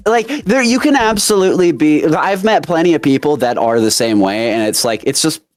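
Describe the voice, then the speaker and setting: Casual stream guest, mid-pitched, loose and crude, heavy fillers, soft music in the background. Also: animated, fairly quick, no room sound. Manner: rambling, crude, lots of fillers. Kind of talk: casual chat.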